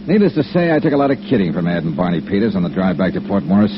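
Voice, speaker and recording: An American actor playing a tough, dogged insurance investigator in 1950s radio noir, with clipped, hard-boiled delivery. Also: male voice, sits low, animated, racing, background noise. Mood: rueful. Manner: clipped, hard-boiled first-person narration. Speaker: lead actor and narrator. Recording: muffled old radio broadcast, music behind.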